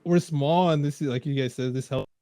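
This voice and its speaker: A man's voice: a little high, fairly quick, mellow, no echo, clean studio sound. A man from California's Central Valley co-hosting a casual podcast, laid-back and rambling, with lots of "like" and restarts. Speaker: podcast co-host. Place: home, LA area.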